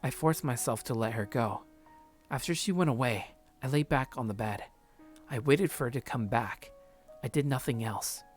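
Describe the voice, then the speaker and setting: Let's play host, mid-pitched voice, expressive visual novel reading, slangy asides, game music behind. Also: moderate pace. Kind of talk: dramatic read-aloud of a visual novel with casual commentary.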